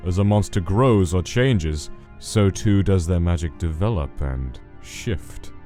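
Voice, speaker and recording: A very low, grave skeleton scientist, played by a man, stern and weary with guilt. He speaks in formal, clipped commands, as in a dramatic animated comic, with music playing behind him. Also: slow. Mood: grave, resigned. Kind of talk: dramatic comic dub acting.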